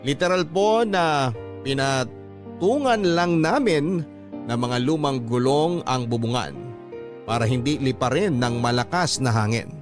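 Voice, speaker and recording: A man's voice: mid-range voice, lively intonation, unhurried. A Filipino man reading a listener's letter as a radio host, in a dramatic storytelling style on a close studio mic. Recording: music bed behind the voice.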